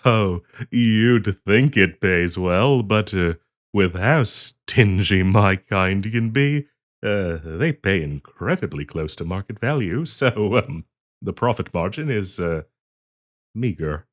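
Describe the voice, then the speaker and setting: A man's voice: on the low side, animated. A man voicing a courteous, earnest half-dragon diplomat in a roleplay audio, speaking politely and hesitantly to the listener. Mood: light, genial. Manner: hesitant, polite, halting with frequent ums and soft chuckles.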